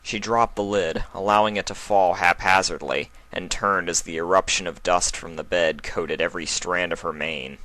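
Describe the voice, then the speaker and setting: Deep male storyteller, bright forceful tone, dry close sound, dramatic fantasy reading. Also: steady tone, moderate pace, few pauses, dry recording, low-quality recording. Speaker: fan fiction narrator. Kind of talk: dramatic scripted story narration.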